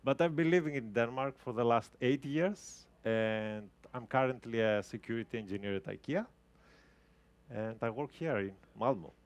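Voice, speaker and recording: A man's voice: mid-range voice, normal speed, lo-fi sound. Man giving a tech talk. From Greece. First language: Greek. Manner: casual, explanatory, with frequent restarts. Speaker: guest speaker. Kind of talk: tech talk to a live audience. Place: talk venue with a live audience.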